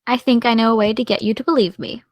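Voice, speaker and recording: A woman playing a caring, gently teasing young woman in an audio drama, speaking in a casual, slightly tentative way. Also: on the high side, fairly even intonation, quick pace, dry recording, highs cut off, lightly acted. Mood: gently candid. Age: young adult. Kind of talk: naturalistic audio drama dialogue.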